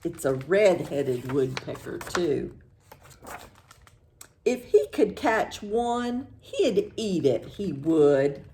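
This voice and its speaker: Lively, unhurried American woman reading to children. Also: on the low side, slight background noise. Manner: simple questions with pauses, affirming answers, singsong reading. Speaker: children's librarian, storytime host. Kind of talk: read-aloud storytime for young children.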